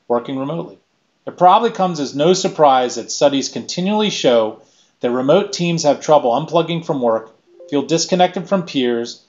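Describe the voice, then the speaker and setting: Man, middle-aged, scripted keynote, measured listing delivery, webcam audio, slightly muffled. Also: medium pitch, darkish tone. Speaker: keynote speaker. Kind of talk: scripted presentation.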